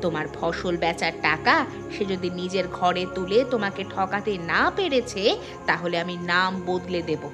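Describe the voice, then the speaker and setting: A woman's voice: lowish voice, normal speed, close to an everyday voice. A woman voicing a suspicious, sarcastic farmer's wife in a moral-story cartoon. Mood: suspicious, insistent. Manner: sarcastic, insistent. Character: suspicious, sharp-tongued village farmer's wife. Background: music.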